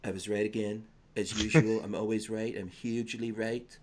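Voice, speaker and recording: A man hosting a podcast in a casual chat, reading out viewer comments in a relaxed, rambling way. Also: on the low side, moderate pace, a little hiss or hum. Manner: relaxed, chatty, drifts into mumbled asides. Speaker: podcast host.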